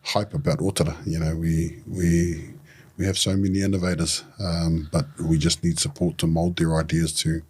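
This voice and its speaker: Samoan-born man with a low baritone. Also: normal speed, breathy edge. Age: 40s. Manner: relaxed, joking, with fillers and restarts. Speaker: podcast guest. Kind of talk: casual interview.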